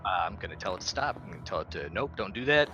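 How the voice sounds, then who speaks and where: A man's voice: low-pitched, very animated, racing, sharp tone, highs cut off, faint music behind. A blunt, sweary man playing a tabletop roleplaying game in casual in-character banter.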